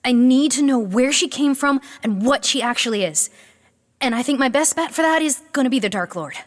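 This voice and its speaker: Anxious, high-voiced young beastmaster. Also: female voice, animated, big-room sound. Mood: urgent. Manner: nervous, halting, bossy with sarcasm. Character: anxious, sardonic young female aspiring beastmaster. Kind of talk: comedic audio drama acting.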